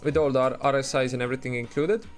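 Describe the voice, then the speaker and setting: A man's voice: mid-range voice, fairly even intonation, rapid-fire, soft background music. A man with an Estonian accent, talking in a loose, chatty way as he streams live and answers his chat, with many restarts and fillers. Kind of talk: casual live-stream commentary.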